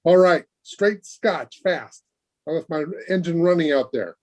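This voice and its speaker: A brusque stranger played by a man in an old-time radio crime play. His voice sits fairly high, and he barks clipped demands like someone with no time to spare. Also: measured pace, close to an everyday voice. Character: curt, pushy male stranger in a hurry at a bar. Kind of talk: 1940s radio drama acting.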